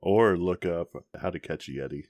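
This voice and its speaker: A man's voice: low-pitched, moderate pace, a little mellow, dry recording, clean studio sound. A man co-hosting a casual podcast, rambling along with lots of ums and restarts. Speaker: podcast co-host. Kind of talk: casual chat.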